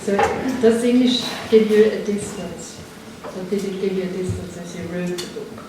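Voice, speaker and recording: A woman's voice: fairly low pitch, moderate pace. Lady moderator asking rambly questions, sounds far away, big echo, noise behind. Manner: long winding questions with hesitations. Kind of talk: moderating a literary event. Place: literature house event hall. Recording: echoey hall from far off, noisy.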